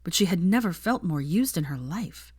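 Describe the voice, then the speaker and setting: A woman reading scripted fiction as an audiobook narrator, with expressive, dramatic storytelling. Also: lowish voice, moderate pace, slightly rough, no room sound, studio quality.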